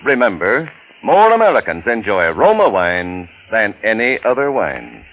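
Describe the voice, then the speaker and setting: A man's voice: fairly low pitch, wildly swooping pitch, measured pace, a bit bright, low-quality recording. Wide-swinging vintage radio commercial announcer, a man. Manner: emphatic, polished salesmanship. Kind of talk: 1940s radio advertisement read. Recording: vintage radio recording, faint music.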